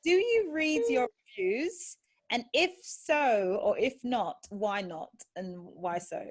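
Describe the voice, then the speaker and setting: A woman's voice: on the high side, lively intonation, slow. A woman hosting an informal interview in a chatty, effusive and playful way. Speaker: podcast host.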